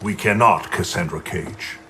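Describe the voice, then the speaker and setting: Solemn, formal war-leader god for a game, fairly low, measured and burdened, over noisy ambience. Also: male voice, pushed and bright. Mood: grave. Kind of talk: dramatic video game acting.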